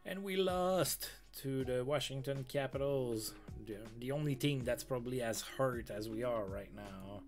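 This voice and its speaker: A man's voice: medium pitch, unhurried, few pauses, a little mellow, faint music behind. A man streaming a sports management game, reading out game news with casual asides. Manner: chatty, reads game news aloud with asides. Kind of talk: casual let's-play commentary.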